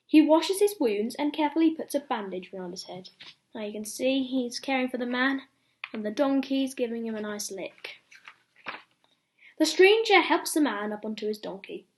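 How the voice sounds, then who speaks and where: A young reader reading a children's bedtime story aloud, expressively, with explanatory asides to little listeners. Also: sits high.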